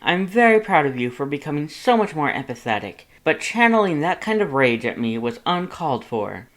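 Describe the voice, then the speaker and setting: A man's voice: fairly high pitch, sing-song, normal speed, fairly bright tone, voice pushed up. A man voicing a pompous, dramatic male skeleton with a grandiose, formal, over-enunciated way of talking. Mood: earnest, scolding.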